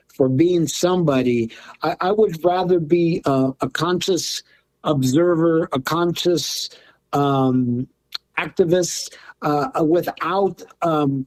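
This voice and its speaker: A man's voice: on the high side, a little mellow, no echo, low-quality recording. A middle-aged man from Los Angeles, a guest in an interview who talks earnestly and reflectively about his healing work, often repeating words as he thinks. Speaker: guest, drum maker and healer. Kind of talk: interview conversation.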